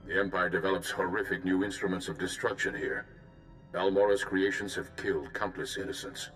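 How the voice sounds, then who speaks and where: An actor voicing a calm, principled Jedi mission leader in a video game, with a formal, courteous manner. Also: male voice, low voice, brisk, faint music behind. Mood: courteous, grave.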